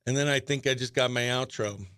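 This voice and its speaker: A man's voice: medium pitch, fairly quick, fairly bright tone, clear, resonant tone, dry recording, clean studio sound. A man hosting casual morning radio chat in an upbeat, effusive, chatty manner. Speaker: radio host; stand-up comedian. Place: radio studio.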